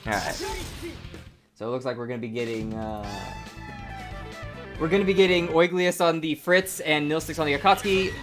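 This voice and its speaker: A woman doing casual play-by-play commentary on a fighting game tournament, talking excitably with many "like" and "uh" fillers. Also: low voice, normal speed, pauses often. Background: game music playing.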